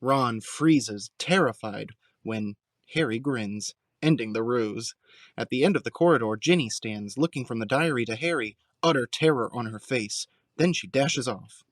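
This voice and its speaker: A man's voice: medium pitch, moderate pace, no room sound. Male narrator with a dry, close sound. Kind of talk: scripted audio drama narration.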